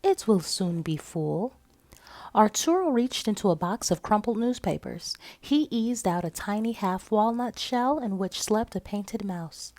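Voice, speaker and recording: A woman reads a picture book aloud for children. She has a medium-pitched voice and narrates expressively as a storyteller. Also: normal speed, hardly any pauses, a little mellow.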